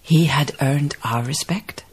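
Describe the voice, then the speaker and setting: An actress in a radio drama playing a dignified, thoughtful baroness, telling her tale in measured literary phrases. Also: deep voice, slight background noise. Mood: storytelling. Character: reflective, dignified baroness, storytelling colonial farm owner. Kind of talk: radio drama acting and narration.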